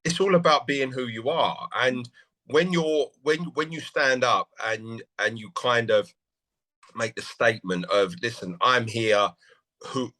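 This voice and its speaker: A man's voice: medium pitch, a little slow, sharp tone, rough recording. A man, a motivational speaker and coach, giving an emphatic, repetitive pep talk over a video call.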